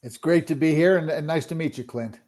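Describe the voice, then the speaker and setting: A seventy-year-old man from Montreal spins hockey memories as an interview guest. His voice sits a bit above the usual male pitch, and he talks in a loose, halting way full of uh's and restarts, chuckling along the way. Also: darkish tone. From Montreal, Quebec, Canada. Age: 70s. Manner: hesitant, many uh fillers and restarts, chuckles often. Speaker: guest, sports broadcaster and author. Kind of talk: relaxed interview storytelling.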